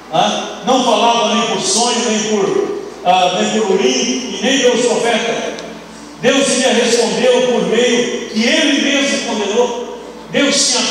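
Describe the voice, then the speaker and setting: High-voiced older pastor in an echoing hall. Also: male voice, a bit bright, recorded from a distance, a little hiss or hum. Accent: Brazilian Portuguese. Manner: conversational preaching with tag questions and quick asides. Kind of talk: teaching sermon. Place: large bright church hall, indoors. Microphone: handheld mic, recorded from far camera.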